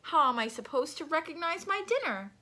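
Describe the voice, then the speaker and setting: Woman voicing a high, indignant storybook jungle animal. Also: big pitch swings, fairly quick, bright, forceful tone, exaggerated voice. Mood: grumbling. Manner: complaining, emphatic.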